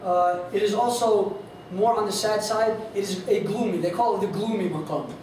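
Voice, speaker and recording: A man with a high voice teaches a casual lesson, talking in a loose, rambling way full of fillers. His voice is strained, as if he is losing it. He sounds far from the mic, in a very echoey hall with a faint hiss behind him. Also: normal speed, darkish tone. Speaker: instructor on Quran recitation. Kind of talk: informal lesson with sung demonstrations.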